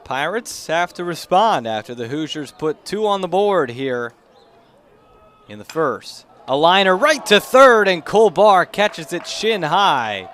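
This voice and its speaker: Young male baseball announcer, higher-set voice, live play-by-play with faint outdoor noise behind. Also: big pitch swings, measured pace, fairly bright tone. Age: young adult. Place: outdoor ballpark during a live game. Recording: noisy outdoor ballpark ambience.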